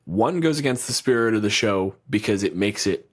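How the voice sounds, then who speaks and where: Low-voiced guy cohosting a podcast, blunt and sweary, arguing his point. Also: lively intonation, normal speed, breathy edge. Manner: blunt, profane, argumentative. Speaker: podcast co-host. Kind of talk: casual chat.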